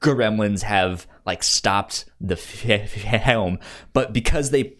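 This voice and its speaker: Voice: mid-range voice, takes it slowly, a bit bright. A podcast co-host chatting casually about films, often stammering and repeating the first sounds of words. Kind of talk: casual film discussion chat.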